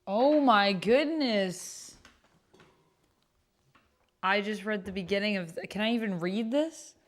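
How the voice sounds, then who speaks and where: A woman's voice: mid-range voice, slow pace. A woman hosting a livestream in casual, reactive chat, with a playful, exclamatory way of talking. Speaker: streamer and host of an actual-play stream.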